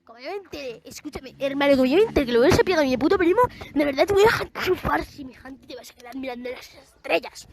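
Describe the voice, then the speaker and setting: High-voiced confrontational caller, a woman. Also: lively intonation, fast talker, few pauses. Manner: confrontational, aggressive. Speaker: troll caller. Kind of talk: slangy street-talk threats. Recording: faint background noise, clicks.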